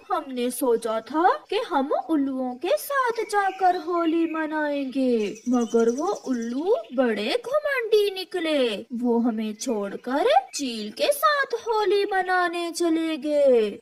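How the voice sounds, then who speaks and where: A woman's voice: high-pitched, sing-song, slow, hardly any pauses, heavily acted. A woman voicing a quarrelsome, bullying male crow in a children's cartoon. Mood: aggrieved.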